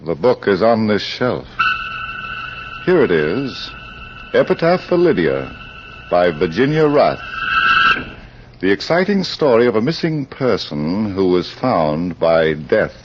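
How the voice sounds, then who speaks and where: An actor playing a suave, eerie crime club librarian in deliberate, theatrical old radio style. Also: male voice, lowish voice, big pitch swings. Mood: courteous, ominous. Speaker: host and narrator of mystery anthology. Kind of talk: 1940s radio acting, dramatic narration. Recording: muffled, noisy old radio recording.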